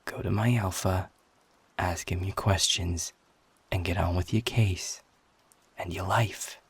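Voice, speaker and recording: A man playing a guarded, sarcastic, defiant werewolf in an intimate audio roleplay, talking bluntly with a husky, gravelly voice. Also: low-pitched, steady tone, takes it slowly, dry recording, full character voice. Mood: grudgingly advising. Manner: sardonic, blunt, swearing, confrontational.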